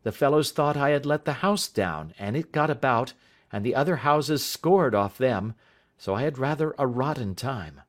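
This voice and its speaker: Man playing a nervous teen schoolboy, polite, hesitant, lively pitch. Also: normal speed, lightly acted. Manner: hesitant, polite, saying sir.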